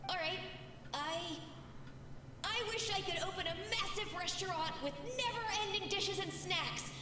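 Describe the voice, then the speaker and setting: A woman voicing an excitable, food-loving little child in a video game flashback. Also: very high-pitched, normal speed, background noise. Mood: excited. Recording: echoey flashback effect, distant, over music.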